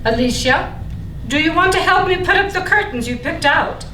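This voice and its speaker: Woman playing a bright, high-voiced brisk mother. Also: animated, full character voice. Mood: coaxing. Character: brisk, strained Spanish-speaking mother of several children. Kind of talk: staged reading of a stage play. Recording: distant mic in echoey room, faint noise.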